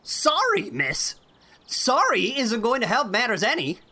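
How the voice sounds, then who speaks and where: A woman's voice: a little high, wildly swooping pitch, unhurried, sharp tone, breathy edge, pitched above the performer's own voice, full character voice. A woman reading a story aloud and voicing an irate, short, bald old farmer who splutters and scolds. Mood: angry bluster.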